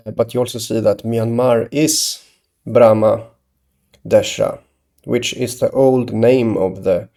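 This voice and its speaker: A man from Sweden, talking hesitantly in a rambling solo monologue, with many pauses and restarts. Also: mid-range voice, gravelly, dark tone. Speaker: video blogger.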